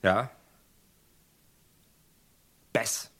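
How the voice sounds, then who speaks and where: An actor playing a grim, stubborn rancher in a vintage radio play, speaking in a terse and deliberate way. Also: male voice, fairly bright tone. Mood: grim, flat.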